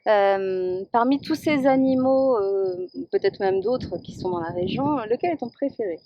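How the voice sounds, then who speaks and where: A woman's voice: on the high side, measured pace, dull audio. A woman interviewing a nature guide outdoors, asking chatty questions with fillers. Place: outdoors at a scenic overlook.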